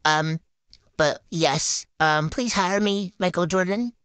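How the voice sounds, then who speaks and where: A woman's voice: on the low side, slow pace, fairly bright tone, clean tone, no room sound. Woman doing a bratty ditzy noob gymnast. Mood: bossy. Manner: valley-girl drawl, silly and boastful. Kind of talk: improvised game roleplay voiceover.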